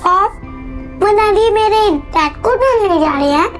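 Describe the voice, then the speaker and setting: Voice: extremely high pitch, animated, slow pace, faint music behind, full character voice. A performer playing an innocent, cheeky little schoolgirl in an audio drama, with a childlike, pert delivery. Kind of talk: Hindi audio drama acting.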